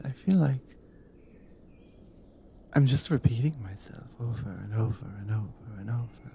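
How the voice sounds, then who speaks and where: A woman playing a devoted, dominant Nordic warrior wife in an intimate ASMR audio roleplay, speaking softly and earnestly, often dropping to a whisper. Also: very deep voice, a little hiss or hum. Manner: soft, hushed, often breathy whispering, slow and earnest.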